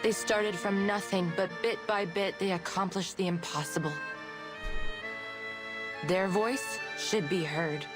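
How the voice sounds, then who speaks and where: A woman voicing an earnest, troubled researcher in a fantasy game, speaking in a measured, formal, solemn way. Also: medium pitch, natural voice. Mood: earnest, pleading. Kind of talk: dramatic game dialogue. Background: game music.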